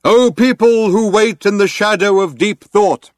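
Fervent rally speaker for an audiobook, super high loud voice, drags words out all grand and declamatory. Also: male voice, no room sound, studio quality. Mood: exultant. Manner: declamatory, drawn-out oratory. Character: fervent male crowd orator proclaiming grandly over a tannoy. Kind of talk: audiobook character voice.

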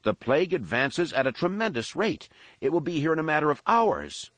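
A man's voice: a little high, big pitch swings, brisk, a bit bright, no room sound, voice pushed up. Panicked survivor, raised voice: a man playing a gasping, frantic young man, dry close recording. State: out of breath. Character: frightened, breathless young male survivor.

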